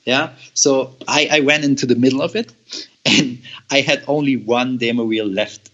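A young man from Germany, a guest telling a long personal story with halting, repeated words and frequent laughs. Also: mid-range voice, fairly even intonation, fairly bright tone, highs cut off. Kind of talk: storytelling in an interview.

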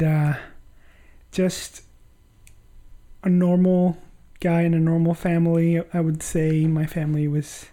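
A man from Honduras, a podcast guest reflecting on his life in halting, conversational phrases. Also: on the high side, dark tone. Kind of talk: reflective personal storytelling in conversation.

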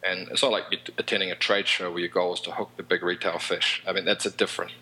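Bright-voiced New Zealand man, rambling. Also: sits low, flat delivery, fast talker, slight background noise, low-quality recording. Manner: rambling, easygoing, says "man" and "mate". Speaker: podcast guest. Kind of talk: casual interview chat.

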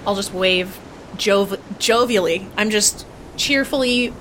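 Female gamer, mid-pitched, informal and playful table talk, light rumble behind her. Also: slow pace, a bit bright, rough recording. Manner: playful, chatty. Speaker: tabletop game player. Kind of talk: casual game-table chat.

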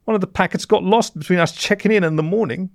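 A man's voice: sits high, fast talker, gravelly, dry recording, clean studio sound, voice pushed up. A man voicing a nervous, tearful mole drug runner in a story, stammering and pleading. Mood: distraught, tearful. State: sobbing.

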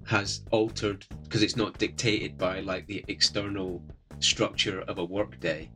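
A man with a soothing voice, talking in a relaxed, friendly way as a podcast guest. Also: low voice, measured pace, soft background music. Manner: relaxed, friendly, gently humorous. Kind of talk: casual podcast chat.

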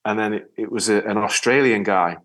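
A man from Yorkshire with a northern English accent, talking casually as an interview guest in a rambling, halting way with repeated words. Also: fairly low pitch, steady tone, a bit bright. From Yorkshire, England. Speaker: interview guest, ocean rower and spearfisher. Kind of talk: casual conversational storytelling.

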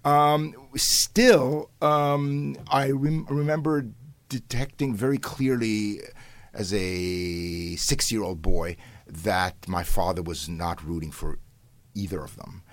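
A deep, low-pitched older man speaks in a dry, close recording. His first language is Hungarian, and he tells his stories as an interviewed professor. He wanders and restarts often, with bursts of animated emphasis. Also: takes it slowly, no room sound. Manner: rambling, many restarts and fillers, animated bursts. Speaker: professor and guest. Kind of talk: conversational interview storytelling.

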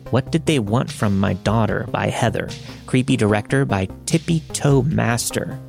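Male horror podcast narrator with a lowish voice, scripted delivery, soft music underneath. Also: gravelly. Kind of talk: scripted narration of listener-submitted horror stories.

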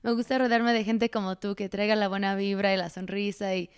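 A woman's voice: mid-range voice, flat delivery, fairly quick. A woman with a Mexican accent hosting a podcast, chatting in an upbeat, casual way. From central Mexico. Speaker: podcast host. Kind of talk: casual interview chat.

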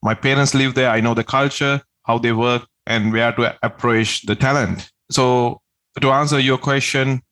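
A man's voice: medium pitch, steady tone, moderate pace, a bit bright. A man from Sri Lanka, a tax agent answering interview questions in an earnest, step-by-step way.

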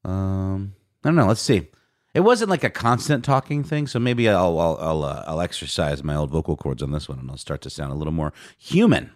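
A man's voice: sits low, sing-song, brisk, no room sound, studio quality. A man co-hosting a podcast in casual chat, his voice sleepy, rambling with fillers and restarts. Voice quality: sleepy-sounding voice. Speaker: podcast co-host.

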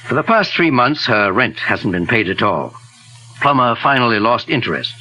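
An old gentleman sleuth in a 1940s radio mystery. His husky voice moves up and down expressively, with a courtly, avuncular manner and a bright edge from the old recording. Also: medium pitch, very animated, moderate pace, rough recording. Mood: grave, explaining. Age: older.

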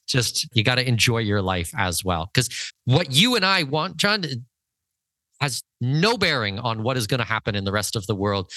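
A man with a bright, forward voice at an ordinary male pitch, recorded close and dry. He talks as an interview host, conversationally, stating his opinions with emphasis. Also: dry recording. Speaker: podcast host and financial consultant.

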